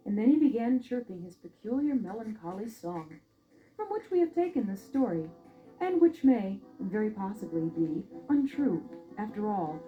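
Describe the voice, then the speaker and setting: Lively woman storyteller on a dull old record. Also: mid-range voice, moderate pace, faint music behind. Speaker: narrator. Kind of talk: expressive scripted fairy-tale narration.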